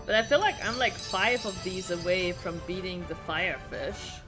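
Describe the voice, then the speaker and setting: A woman chatting casually as she streams a game, informal and reactive, thinking out loud. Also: fairly low pitch, moderate pace. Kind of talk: casual live gameplay chat. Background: game music.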